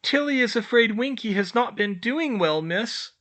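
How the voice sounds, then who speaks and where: A reader voicing Tilly, an older female house-elf teacher who squeaks in broken grammar. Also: animated, normal speed, fairly bright tone, close to an everyday voice. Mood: earnest.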